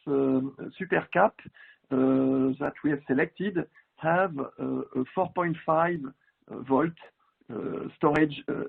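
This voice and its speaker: A man's voice: fairly high pitch, creaky, breathy edge. A man with a French accent giving a technical webinar presentation, hesitant with many fillers and restarts. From France. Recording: tinny phone-like line.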